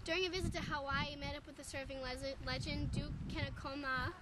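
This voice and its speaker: A woman's voice: high-pitched, fairly even intonation, a little fast, very noisy, close to an everyday voice. A woman playing a proud, boastful male baseball star in an amateur mock 1920s radio interview.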